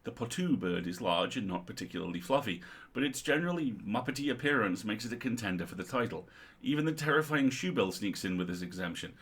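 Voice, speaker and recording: A man's voice: fairly low pitch, lively intonation, fast, a bit bright, breathy edge. A middle-aged man co-hosting a livestream, reading a humorous article aloud with wry, playful and sweary asides.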